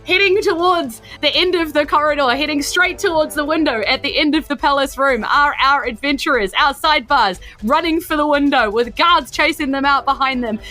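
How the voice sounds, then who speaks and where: Bright-voiced New Zealand woman running a game. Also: high voice, fairly quick, few pauses. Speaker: game master and podcast host. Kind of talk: improvised tabletop game narration and live-stream hosting.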